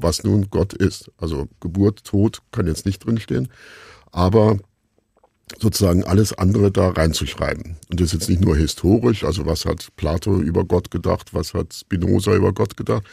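A middle-aged man being interviewed as a radio drama maker, telling stories in a musing, halting, self-deprecating conversational way. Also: low-pitched, vocal fry, mellow, breathy edge, no room sound, studio quality. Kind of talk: reflective conversational storytelling in an interview.

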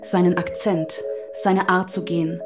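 A woman with a lowish voice reads scripted text. Her phrasing is neat and formal, and music plays clearly behind her. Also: level tone, unhurried. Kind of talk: measured scripted read-out.

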